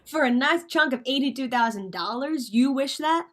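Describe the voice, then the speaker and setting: A woman's voice: sits high, lively intonation, normal speed, a bit bright. A woman playing a cocky, bossy crook who narrates and schemes in slangy hardboiled style in a radio play. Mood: irritated, pushy. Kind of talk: vintage radio drama acting.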